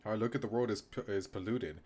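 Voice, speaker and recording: A man's voice: fairly low pitch, quick pace, darkish tone, a little hiss or hum. A man streaming a video game, reading aloud and reacting, often restarting his sentences as he thinks aloud.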